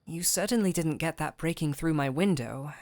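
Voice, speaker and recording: A woman playing a gentle but firm fantasy physician, calm and wry, in an intimate audio roleplay with measured, coaxing delivery. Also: deep voice, no echo. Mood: wry, pleading. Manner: measured, coaxing, wryly stern. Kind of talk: intimate scripted audio roleplay addressed to the listener.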